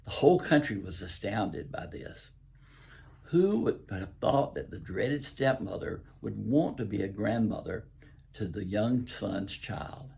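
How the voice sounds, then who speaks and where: An older man from the American South with a Southern accent reads a story aloud. His voice is very husky and rough, with a gravelly creak and a lowish pitch. The delivery is folksy and deliberate, like a host sharing a fairy tale. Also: lively intonation, dark tone. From southern United States. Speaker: host and author reading own stories. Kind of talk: storytelling, reading aloud from own book.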